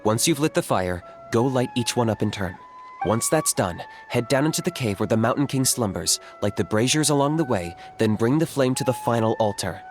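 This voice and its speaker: A man's voice: mid-range voice, animated, a little fast, close to an everyday voice. A man playing a composed young mentor in a video game, giving dry, matter-of-fact instructions. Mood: instructive. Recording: game audio with loud background music.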